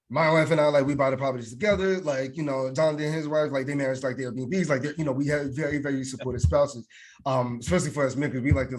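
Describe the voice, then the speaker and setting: A man with a mid-range voice interviewing casually as a podcast co-host. He talks haltingly, restarting and padding his questions as he works toward the point. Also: medium pitch. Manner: halting, heavy use of "like", circles around questions. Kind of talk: casual chat.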